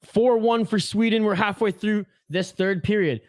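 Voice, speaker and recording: A young Canadian man with a fairly high voice hosts a hockey watch-along stream. He chats loosely, swears, and answers viewer comments aloud. His voice is close and dry, with no room sound. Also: steady tone, normal speed, darkish tone, dry recording. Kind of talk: casual live-stream chat.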